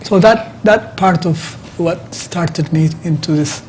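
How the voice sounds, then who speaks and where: Higher-pitched middle-aged Ethiopian-accented man. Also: darkish tone, slight background noise. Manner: halting, repeats words as he explains. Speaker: interview guest, activist. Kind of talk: conversational interview answers.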